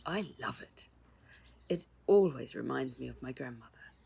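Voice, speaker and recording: A woman reader acts out an elegant storybook lady, gracious and chatty. She speaks with genteel, gushing warmth in a husky, slightly creaky voice. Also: rumbling low, expressive, normal speed, full character voice. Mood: fond, wistful.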